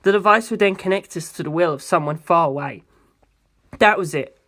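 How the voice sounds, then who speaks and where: A narrator with a mid-pitched voice reads a novel aloud in the first person. The delivery is that of scripted storytelling, carried line by line as an amateur audiobook. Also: brisk, a bit bright.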